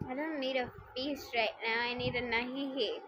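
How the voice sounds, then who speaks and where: A woman's voice: fairly high pitch, fairly even intonation, normal speed, noisy background. A woman livestreaming a game with casual reaction chat and playful, exasperated griping.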